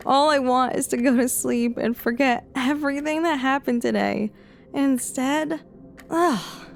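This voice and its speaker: A woman playing a troubled, weary high school girl in an audio drama, brooding and talking to herself. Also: a little slow, vocal fry, a little hiss or hum. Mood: exasperated.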